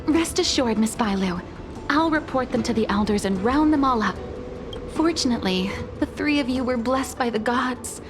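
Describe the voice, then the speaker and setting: High-voiced dutiful attendant woman, acted. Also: lively intonation, normal speed, over music, close to an everyday voice. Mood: concerned, reassuring. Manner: formal, solicitous. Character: dutiful, deferential female guard attendant, smoothly solicitous.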